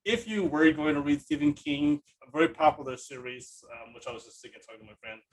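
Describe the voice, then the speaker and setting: A man's voice: fairly high pitch, wildly swooping pitch, fast talker, gravelly, low-quality recording. A man hosting a podcast in a casual, enthusiastic and rambling conversational way. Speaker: podcast host. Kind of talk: casual chat.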